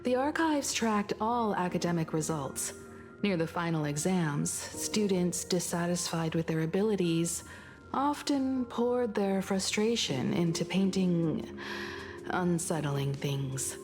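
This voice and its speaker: A woman voicing a poised young female investigator in a game, speaking in a calm, measured, polite way. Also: on the low side, over music. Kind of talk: game voice acting.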